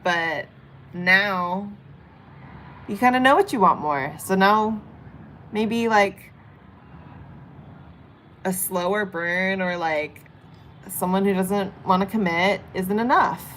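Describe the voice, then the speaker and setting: A woman's voice: medium pitch, slow, long pauses, fairly bright tone. A young woman from San Diego, chatting casually with livestream viewers while she reads tarot and does her makeup. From San Diego, California. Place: home in Vietnam. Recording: faint background noise.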